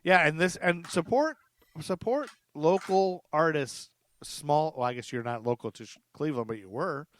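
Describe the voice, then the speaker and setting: A man's voice: a little high, animated, normal speed. A man hosting a podcast in casual chat, upbeat and chatty, laughing easily and restarting his sentences. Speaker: podcast host.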